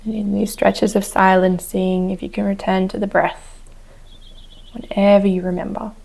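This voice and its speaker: A woman teacher leading a guided meditation with gentle, unhurried instructions. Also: mid-range voice, little pitch movement, vocal fry. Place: outdoors on a wooden deck. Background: chickens and a rooster.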